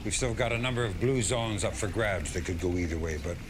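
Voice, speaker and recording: A man's voice: low voice, brisk, noisy background, lightly acted. An actor playing a grave, seasoned general in a game cutscene, briefing his commander with direct authority. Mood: grave, urgent.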